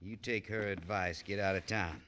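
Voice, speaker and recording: A man's voice: sits low, steady tone, normal speed, noisy background, close to an everyday voice. An actor playing a hostile, menacing townsman with a shotgun in an old radio western. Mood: threatening.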